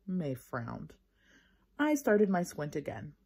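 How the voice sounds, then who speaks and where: A woman with a husky, slightly low voice reads a children's book aloud. She talks casually and encouragingly to her young listeners, like a teacher, and tells the story with expression. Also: very animated, slow, a little mellow.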